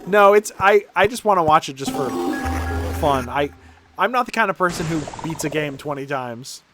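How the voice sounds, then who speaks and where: A man with a notably high voice is streaming a video game. He reads the on-screen text aloud and reacts as he goes, irreverent, sweary and amused. Music plays clearly behind him. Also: expressive, normal speed.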